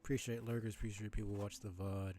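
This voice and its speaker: A man's voice: on the low side, flat delivery, quick pace. A man livestreaming, chatting casually to his viewers in a rambling way as he thinks aloud, with music behind him. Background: music under the voice.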